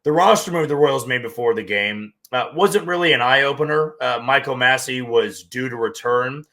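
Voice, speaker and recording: A hoarse man with a strained voice hosts a sports podcast and talks plainly through his opinions. His tone is bright and forward at a middling pitch. He keeps pushing on even though his voice is nearly gone. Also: normal speed. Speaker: podcast host.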